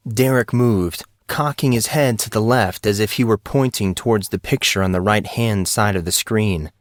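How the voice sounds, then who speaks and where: Guy narrating an audiobook story, lowish voice, really dry recording. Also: normal speed, no echo.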